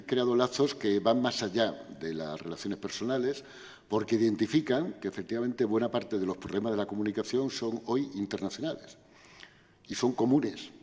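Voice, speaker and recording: An older man gives a measured, lecturing answer at an academic conference. His voice sits on the low side and he keeps checking in with short tag questions. The sound carries the long reverb of a university auditorium. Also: darkish tone. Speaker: invited speaker at academic conference.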